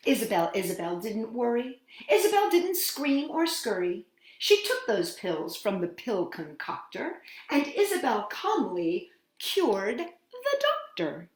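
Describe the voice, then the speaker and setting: A woman hosting a children's storytime, reading a picture book aloud in an expressive, sing-song way with dramatic pauses. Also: fairly high pitch. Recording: roomy echo.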